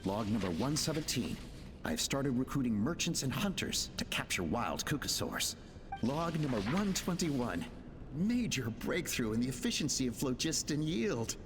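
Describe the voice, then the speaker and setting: A man's voice: medium pitch, big pitch swings, music in the background, natural voice. Actor as an echoing, driven male inventor. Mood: proud, determined. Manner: earnest, deliberate log-keeping delivery. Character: ambitious, driven male inventor reading out his research log. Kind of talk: English video game voice acting. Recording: long reverb, game ambience behind.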